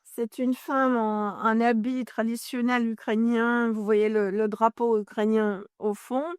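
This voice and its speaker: A woman's voice: fairly high pitch, level tone, unhurried, clear, resonant tone, no room sound, studio quality. A woman from Ireland whose first language is English, a journalist and author explaining at length as an interview guest, often searching for French words and correcting herself.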